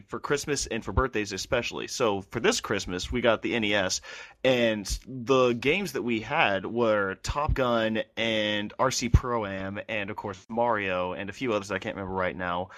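Low-voiced man telling a rambling story. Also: fairly bright tone, dry recording. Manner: rambling, halting storyteller with many restarts. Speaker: podcast co-host. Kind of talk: casual chat.

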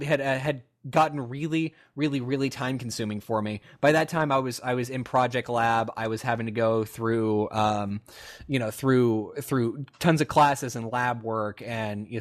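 A young man talking casually on a podcast. His voice sits in an ordinary middle pitch. He rambles reflectively, circling back with restarts and fillers as he thinks aloud. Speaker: podcast co-host. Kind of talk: casual chat.

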